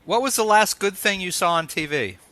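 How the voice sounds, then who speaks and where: Bright, high-voiced male interviewer. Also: animated, a little fast, dry recording. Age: middle-aged. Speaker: interviewer, host. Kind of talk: conversational interview.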